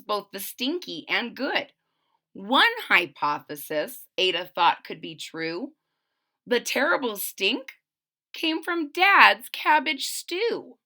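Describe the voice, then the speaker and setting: A woman reading a children's story aloud with dramatic emphasis, explaining simply as if to young listeners. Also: medium pitch. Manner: expressive, dramatic emphasis on key words. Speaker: storybook reader.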